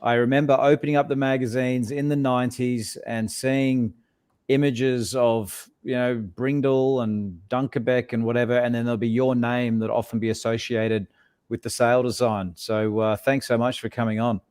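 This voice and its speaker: A man's voice: mid-range voice, flat delivery, moderate pace, a little mellow, clear, resonant tone. A man with a mid-range voice co-hosts a casual podcast. He sounds friendly and curious and keeps coming back with short follow-up questions. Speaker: podcast co-host.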